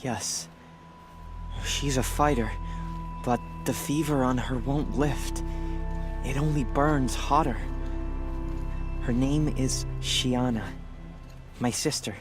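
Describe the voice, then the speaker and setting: A man playing a gentle, resigned refugee and devoted brother in a video game, with a subdued, halting delivery. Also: medium pitch, natural voice. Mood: worried, sad. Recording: clear music behind the voice.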